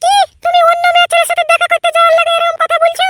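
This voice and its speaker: A woman's voice: very high-pitched, completely flat delivery, racing, very noisy. A woman voicing a pouting, clingy young girlfriend in a comedy cartoon, with a whiny, sulky manner. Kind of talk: cartoon voice acting.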